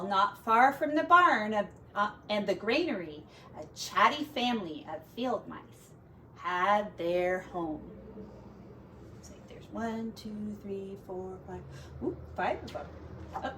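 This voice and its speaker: Expressive, slow-paced woman reading a storybook. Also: mid-range voice, far from the mic, noisy background. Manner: expressive, deliberate phrasing for children. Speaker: teacher. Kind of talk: storybook read-aloud with casual asides on pictures.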